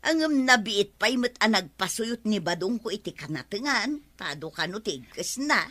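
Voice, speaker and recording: A woman's voice: mid-range voice, sing-song, moderate pace, sharp tone. A woman playing a chatty, fussing village housewife with an Ilocano accent in a radio comedy drama.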